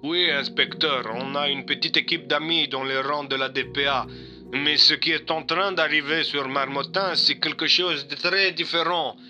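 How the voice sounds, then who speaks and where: A man's voice: fairly high pitch, steady tone, moderate pace, sharp tone, soft background music, voice pushed up. A man playing a dutiful male police officer reporting to his superior in an audio drama. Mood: dutiful, serious.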